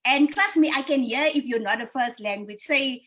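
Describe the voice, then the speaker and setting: A woman's voice: high voice, moderate pace, fairly bright tone. Direct woman giving career advice. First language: Afrikaans. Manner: direct, advising, speaks in frequent restarts. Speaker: panel speaker on careers. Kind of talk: online panel advice talk.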